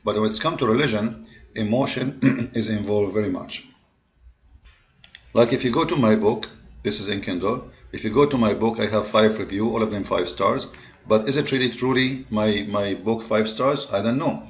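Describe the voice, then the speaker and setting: A man with a fairly low voice, giving a loose lecture-style talk. His speech stops and starts, full of fillers and repeated words, with grammar. The recording is dull and lo-fi. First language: Arabic. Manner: halting, many fillers and restarts, non-native grammar. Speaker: online religious debater and host. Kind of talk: casual lecture-style monologue. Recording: dull lo-fi sound.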